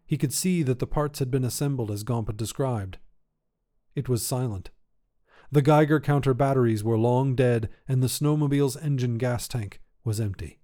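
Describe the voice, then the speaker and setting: A male narrator with a mid-pitched voice reads a piece of short fiction. He delivers it as scripted storytelling for a podcast that he hosts. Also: warm tone.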